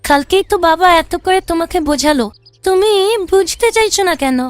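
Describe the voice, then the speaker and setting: A woman's voice: very high-pitched, normal speed, dry recording, faint music behind. A woman voicing an anxious, conscientious young wife in a cartoon, pleading as she speaks. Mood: worried, pleading.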